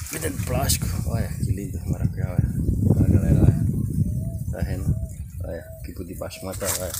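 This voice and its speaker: A man, casually and enthusiastically showing his sunny backyard garden on a phone camera while walking. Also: low voice. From Northeast Brazil. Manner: enthusiastic, repeats "que maravilha" and "olha". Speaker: vlogger. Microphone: phone camera held while walking. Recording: outdoor phone audio with clear background noise.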